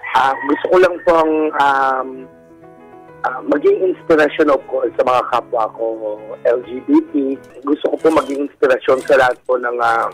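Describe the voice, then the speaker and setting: A Filipino man with a campy, animated way of talking, telling his personal story casually as a guest on a radio call-in show. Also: medium pitch, poor audio. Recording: radio call-in over background music. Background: music.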